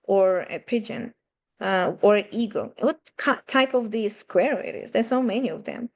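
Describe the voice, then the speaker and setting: A woman's voice: mid-range voice, normal speed, darkish tone. Woman with a Russian-Ukrainian accent teaching. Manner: conversational, asks rhetorical questions, non-native phrasing. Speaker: math teacher. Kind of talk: online seminar lecture. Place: home room with a running aquarium.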